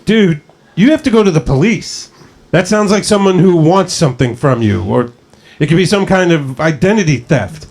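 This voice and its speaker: A man's voice: on the high side, lively intonation, moderate pace, darkish tone. An actor in a screenplay table read playing a level-headed, supportive male friend talking on the phone. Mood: concerned.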